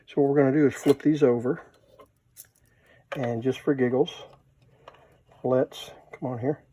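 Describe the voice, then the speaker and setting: A man's voice: mid-range voice, steady tone, unhurried, mellow, highs cut off, slight background noise. A man giving a casual, unscripted hands-on demonstration, thinking aloud as he works as a hobbyist presenter. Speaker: vintage tape recorder hobbyist, presenter.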